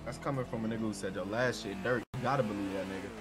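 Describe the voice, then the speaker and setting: A man's voice: fairly low pitch, steady tone, racing. A man chatting casually with other players in a game, swearing often and using heavy slang. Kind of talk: casual chat. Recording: loud car noise behind voice.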